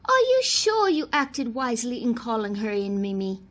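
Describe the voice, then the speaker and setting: A woman's voice: fairly high pitch, sing-song, normal speed, fairly bright tone. A woman acting a haughty, anxious society lady in a theatrical radio play, dramatic and imperious. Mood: impatient.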